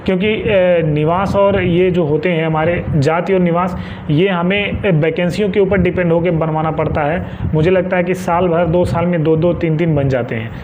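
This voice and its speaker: Male exam-advice presenter, fairly high voice, informal and emphatic with repetition, lo-fi muffled sound. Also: steady tone, moderate pace. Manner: insistent, repeats key points for emphasis. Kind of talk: informal advice talk to viewers. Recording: muffled lo-fi with faint background noise.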